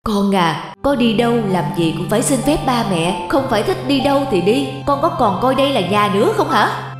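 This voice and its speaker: A woman voicing a stern, worried mother who nags and reproaches her teenage son in an animated drama. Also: fairly high pitch, moderate pace, faint music behind, natural voice. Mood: reproachful. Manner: nagging, reproachful.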